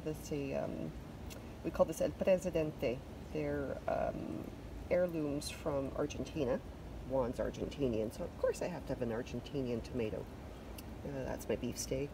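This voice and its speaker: A woman's voice: low voice, background noise. A Canadian woman chatting casually through a home garden vlog, loose and self-deprecating, with plenty of fillers. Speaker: vlogger. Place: covered wooden deck outdoors.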